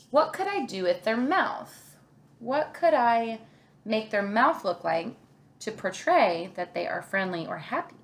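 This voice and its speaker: Female kindergarten teacher, unhurried delivery, mid-range pitch, encouraging, thinks aloud while demonstrating a craft. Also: vocal fry. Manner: encouraging, thinking aloud, asks the listener questions. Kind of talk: children's craft lesson, talking through each step.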